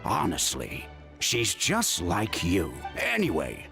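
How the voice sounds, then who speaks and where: A man's voice: deep voice, huge pitch swings, takes it slowly, creaky, bright, forceful tone, faint music behind, heavily acted. A man voicing an exasperated, affectionate middle-aged uncle in a video game, scolding and chatty.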